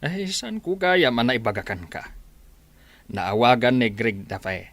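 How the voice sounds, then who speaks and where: A man's voice: medium pitch, big pitch swings, a little slow, a bit bright, no echo. A man playing an exasperated old village father in an Ilocano radio comedy drama, grumbling and exclaiming with a Filipino accent. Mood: grumbling, impatient. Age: older. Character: exasperated old village father fretting over his mortgaged farm.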